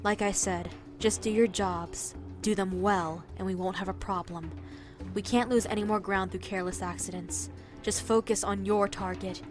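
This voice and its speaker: A woman's voice: mid-range voice, steady tone, moderate pace, music in the background, natural voice. A woman playing a cold, bossy conspirator who scolds and commands her underlings in a condescending way. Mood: stern, controlled. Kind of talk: dramatic voice acting in audio drama.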